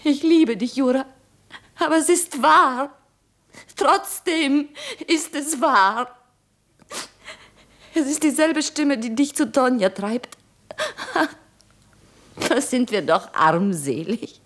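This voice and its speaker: A woman's voice: high voice, lively intonation, slow pace, long pauses, a bit bright, full character voice. An actress in a German radio play, playing an anguished, devoted woman in love who is broken by war, speaking in a lyrical, impassioned way. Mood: pleading, impassioned. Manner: impassioned, lyrical, dropping into breathy whispers.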